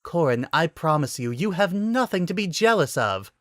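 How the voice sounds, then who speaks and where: Man acting a young woman, higher voice, smirking, teasing, lively intonation, romantic comedy storybook reading. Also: moderate pace, no room sound, clean studio sound. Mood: playful, teasing. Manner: playful, teasing, arch. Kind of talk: dramatic reading of romantic comedy fan fiction.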